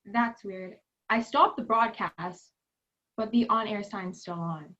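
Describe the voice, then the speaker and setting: A young woman radio technician played by a student speaks slowly, puzzled and sheepish, in an understated comic delivery. The video-call audio is a little dull. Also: medium pitch, a bit bright. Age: teens. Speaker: student actor. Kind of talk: comic stage-play acting over video call. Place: home room, video call.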